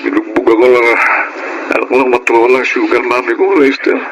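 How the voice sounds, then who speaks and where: A man's voice: mid-range voice, fairly even intonation, brisk, muffled recording, close to an everyday voice. A Filipino man playing a beaten-up, regretful young gigolo in a radio drama, on a noisy recording. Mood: pained, regretful.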